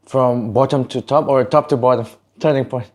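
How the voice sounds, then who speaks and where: A man's voice: medium pitch, steady tone, normal speed, a little mellow. A man hosting a casual podcast interview, often chiming in with short agreement.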